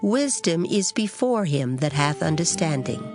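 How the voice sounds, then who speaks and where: A woman with a slightly low voice reads scripture as dramatized narration. Her phrasing is solemn and deliberate, with expressive melodic movement and music clearly underneath. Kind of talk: dramatized King James Bible reading. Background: background music.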